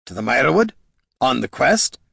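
Gruff, exasperated adventurer. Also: male voice, mid-range voice, expressive, fairly quick, bright, forceful tone, no echo, clean studio sound, pitched above the performer's own voice, full character voice. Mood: urging. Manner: barking, scolding, rough colloquial speech. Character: gruff male adventurer, exasperated, responsible quest leader.

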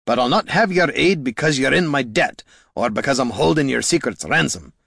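A man voicing a blunt, stubborn, warm-hearted veteran fantasy hero with emphatic, rustic dialect speech. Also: mid-range voice, animated, a little fast, vocal fry, husky, no room sound, studio quality, voice pushed up, full character voice. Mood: firm, earnest.

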